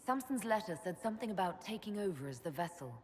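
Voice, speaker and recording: An actress playing a composed, authoritative heroine in a video game, direct and formal. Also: female voice, medium pitch, big pitch swings, quick pace, darkish tone, natural voice. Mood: questioning. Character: composed, authoritative female leader, a mage heroine. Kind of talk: dramatic video game cutscene acting. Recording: hall reverb with background noise.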